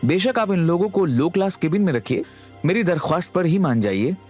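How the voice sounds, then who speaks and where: An actor playing a calm, devoted young man in an audio drama, speaking politely and persuasively. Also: high-pitched, fairly quick, soft background music. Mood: polite, pleading. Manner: courteous, persuasive.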